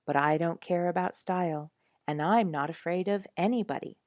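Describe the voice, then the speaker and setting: A woman's voice: lowish voice, moderate pace, a little mellow, dry recording, dull audio, lightly acted. A woman voicing a blunt, self-assured young male porcupine who lectures in a folksy, emphatic way, as in a storybook. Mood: defiant, self-assured. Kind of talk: storybook character speech.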